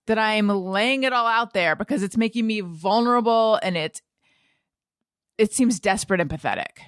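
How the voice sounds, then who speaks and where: A woman's voice: medium pitch, no room sound. Wry American woman hosting, close dry sound. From United States (Orange County, California). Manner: wry, mock-serious, rambling. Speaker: podcast host. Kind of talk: casual podcast monologue and interview chat.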